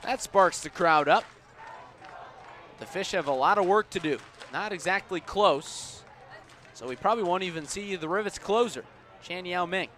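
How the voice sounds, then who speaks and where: A man doing live radio play-by-play of baseball as the announcer, with a measured delivery that rises on the action. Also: high-pitched, sharp tone. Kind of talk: live radio sports commentary. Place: outdoors at a baseball stadium. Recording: outdoor ballpark ambience noise behind.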